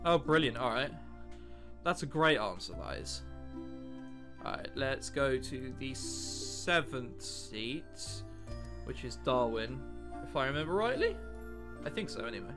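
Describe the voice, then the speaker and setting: A man's voice: medium pitch, very animated, unhurried, pauses often, music in the background. A young man hosting a let's play, reading the game aloud and adding casual, sweary, dry asides. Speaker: gaming video host.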